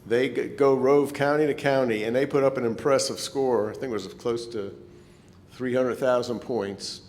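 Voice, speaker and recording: A man's voice: fairly even intonation, normal speed, darkish tone. A man giving an informal, slightly hesitant club presentation in a meeting hall. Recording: echoey hall, rumbling background noise.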